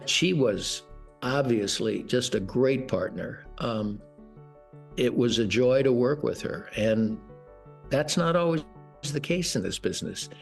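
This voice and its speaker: A man reflects on working with a partner, pausing often. His voice is gravelly, with faint music behind him. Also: medium pitch, animated. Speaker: former co-anchor. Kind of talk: interview.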